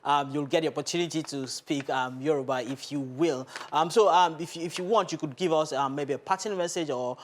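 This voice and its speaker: Higher-voiced male interviewer. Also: fairly quick, hardly any pauses, slight background noise. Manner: hesitant, many ums, polite. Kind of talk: broadcast interview.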